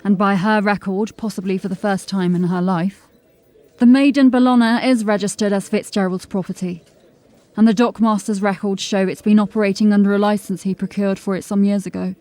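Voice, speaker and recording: A woman's voice: medium pitch, fairly even intonation, brisk, mellow, close to an everyday voice. Woman acting a drily witty police constable. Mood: dry, informative. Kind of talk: fantasy audio drama acting.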